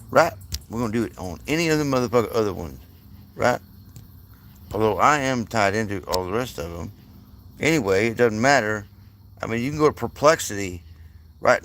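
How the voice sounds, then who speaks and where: Profane rambling man, faint hiss behind. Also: mid-range voice. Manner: excitable, profane, rambling with many "right?" asides. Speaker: channel host. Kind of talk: casual screen-share commentary.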